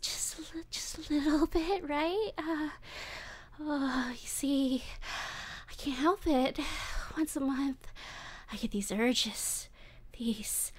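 A woman voicing an obsessive, lovesick demon woman who holds someone captive, whispering breathily close to the mic and stammering with excitement. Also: a little high, heavily acted. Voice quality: breathy whispering, giggly and unhinged. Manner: stammering, repeating herself in excitement. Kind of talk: ASMR roleplay audio drama.

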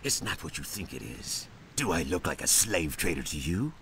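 A very deep-voiced pirate smuggler, brash and irritable. The delivery swings wildly up and down with a gravelly creak. It is game dialogue, with faint noise behind it. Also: male voice, sing-song, moderate pace, a bit bright, exaggerated voice. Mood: defensive. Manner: brash, cursing. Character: gruff, swaggering, notorious pirate smuggler, irritable and boastful.

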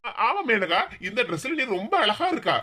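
A performer playing an easygoing, clueless young husband. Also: male voice, sits high, big pitch swings, normal speed, pushed and bright, slight background noise, full character voice. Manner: mild, easygoing.

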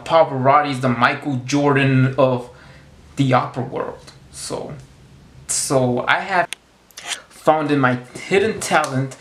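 A man's voice: fairly high pitch, a bit bright, echoey room. A man in his thirties rambling to camera in a casual monologue, often repeating words and restarting his sentences. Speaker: vlogger. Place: small room indoors against a plain wall.

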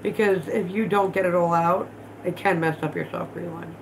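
A woman in her thirties chats casually on a home livestream, her voice sitting a little low and sounding stuffed up from allergies. She talks bluntly and swears freely as she works with her hands. A faint room hum sits behind her, picked up by a phone on the table in her living room. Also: steady tone, moderate pace, poor audio. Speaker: vlog host.

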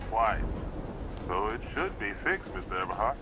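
An actor playing a testy expedition mechanic in a video game. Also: male voice, lowish voice, big pitch swings, moderate pace, noisy background.

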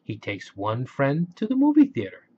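A man reading a children's story aloud in a simple, expressive way, on a slightly dull home recording. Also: medium pitch, moderate pace, slightly rough. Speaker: picture book reader.

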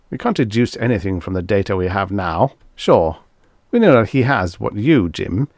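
A man's voice: on the low side, no echo, studio quality. Narrator voicing a commanding, emphatic man in charge. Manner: assertive, emphatic, reasoning aloud. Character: commanding, take-charge man giving brisk orders. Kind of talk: audiobook character dialogue.

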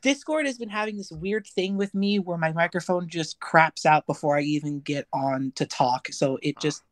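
A woman's voice: low-pitched, moderate pace, dry recording, clean studio sound. A chatty, effusive woman speaking casually as an audience member with a question. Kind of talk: casual live voice chat.

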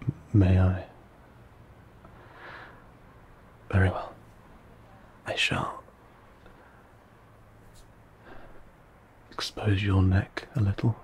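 Man acting slow, hushed, courteous old vampire. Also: deep voice, little pitch movement, a little hiss or hum. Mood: gentle, reassuring. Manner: formal, hushed, soothing, measured. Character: courteous, gentle old male vampire feeding on blood. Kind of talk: intimate audio roleplay acting. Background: ambient sound effects.

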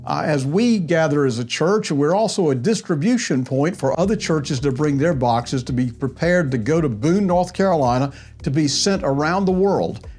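A man's voice: fairly high pitch, expressive, normal speed, breathy edge, faint music behind. A man in his sixties, a pastor giving a weekly church update from his small office on a lapel mic, in a pastoral tone that turns into prayer. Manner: warm pastoral address to camera, ending in prayer. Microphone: clip-on lapel mic.

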